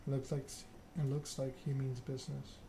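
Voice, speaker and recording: A man reading a story-driven game aloud in an ASMR style, subdued and unhurried. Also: medium pitch, completely flat delivery, creaky, warm tone, slight background noise. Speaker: gaming video creator. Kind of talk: ASMR narration of a text-based game.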